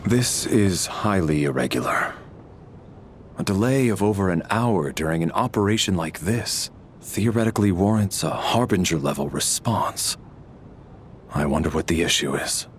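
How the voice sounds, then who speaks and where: Brief: a by-the-book army major, low voice, faint hiss. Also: male voice, animated, moderate pace. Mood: stern. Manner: stiff, formal, by-the-book. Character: stern, formal military officer man. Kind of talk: game voice acting.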